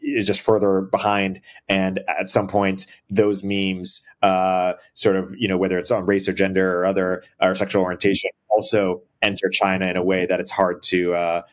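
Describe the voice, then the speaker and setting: A deep, flat-toned man in his thirties hosts the interview, working through his question with hesitations and restarts. He talks over a laptop video call from a bright room at home. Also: normal speed, few pauses. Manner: hesitant, lots of fillers and restarts. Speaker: host.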